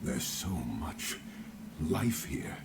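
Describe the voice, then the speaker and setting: A man's voice: a bass voice, sing-song, drawn-out words, background noise, full character voice. A man playing a wondering companion in a fantasy video game adventuring party, acted dramatically. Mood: awed.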